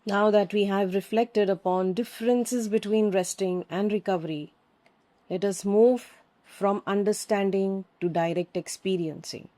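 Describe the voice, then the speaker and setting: A woman teaching yoga nidra, giving calm, soothing guided meditation instructions with long pauses. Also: medium pitch.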